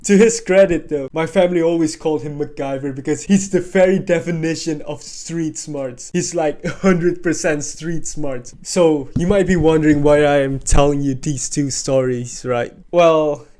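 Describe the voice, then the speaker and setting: A man's voice: fairly high pitch, fairly even intonation, normal speed, hardly any pauses, darkish tone. A young man from Indonesia, giving casual vlog commentary in a candid, sarcastic way with exclaiming asides. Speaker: vlogger. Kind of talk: casual vlog commentary over on-screen data.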